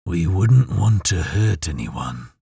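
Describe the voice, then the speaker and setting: A man puts on a male demon lord for a fantasy audiobook. The voice is husky and crackling, with long, deliberate words. It is menacing and mocking throughout. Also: low-pitched, sing-song, warm tone, no echo, clean studio sound, exaggerated voice. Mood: calm menace. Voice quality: raspy. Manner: slow, deliberate, ominous. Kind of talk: audiobook character voice.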